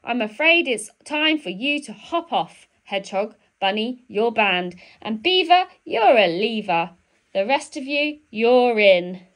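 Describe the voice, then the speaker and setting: A woman's voice: on the high side, sing-song, unhurried, a bit bright. A woman voicing a bossy, self-important male badger in a children's storybook, with emphatic, sing-song commands. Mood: dismissive.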